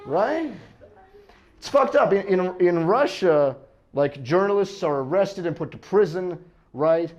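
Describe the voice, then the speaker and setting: An American man doing stand-up crowd work, bantering in a halting, self-interrupting way and often chuckling. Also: high-pitched, animated, highs cut off. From United States. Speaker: stand-up comedian.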